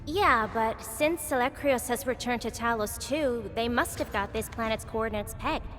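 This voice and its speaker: A woman's voice: a little high, moderate pace, a bit bright, soft background music, natural voice. Composed woman in long reverb, acted. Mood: welcoming. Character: composed, confident woman comrade explaining the lore. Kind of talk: video game voice acting.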